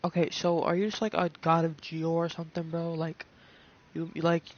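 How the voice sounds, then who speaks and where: A man's voice: a little high, monotone, normal speed. A young man giving casual gameplay commentary in a blunt, slangy, profane way. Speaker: gamer reacting to a story quest. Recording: dull mic with faint noise.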